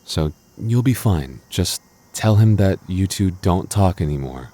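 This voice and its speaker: A man's voice: deep voice, lively intonation, measured pace, warm tone. A man playing a jealous, protective young boyfriend in an intimate audio roleplay, defensive and stammering but loving. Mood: annoyed, possessive. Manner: stammering, defensive, teasing asides.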